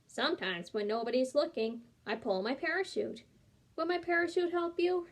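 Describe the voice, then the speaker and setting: Small nervous bird, chirpy, high voice, a woman reading it. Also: moderate pace.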